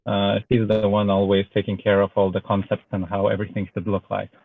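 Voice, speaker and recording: A man's voice: fairly low pitch, monotone, a little fast, creaky. Hesitant man with a Lithuanian accent. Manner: hesitant, many filler sounds. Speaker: guest artist. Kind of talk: casual chat. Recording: tinny phone-like online call audio.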